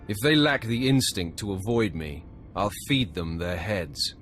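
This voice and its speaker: An actor playing an arrogant, menacing young Sith acolyte in a video game, cold, threatening and self-assured. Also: male voice, lowish voice, animated, a little slow, fairly bright tone, faint music behind.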